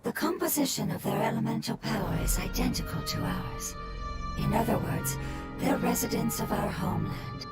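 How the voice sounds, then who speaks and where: A woman voicing a game character who explains things calmly and sorrowfully. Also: medium pitch, normal speed, loud music behind the voice, close to an everyday voice.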